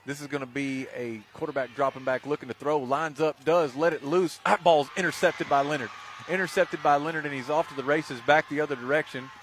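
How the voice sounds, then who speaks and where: A man's voice: on the high side, fairly even intonation, a little fast, a bit bright. American man calling football over stadium noise. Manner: folksy, joking, easygoing between plays. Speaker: play-by-play announcer. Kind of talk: live high school football radio play-by-play, casual banter. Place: outdoors at a football game. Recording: noisy outdoor live sports broadcast. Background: stadium ambience.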